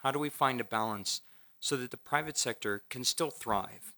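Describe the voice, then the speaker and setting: A man speaking for a government on a conference panel, measured and diplomatic. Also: mid-range voice, creaky, pushed and bright. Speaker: government trade official. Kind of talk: prepared remarks on a panel. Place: lecture hall at a conference.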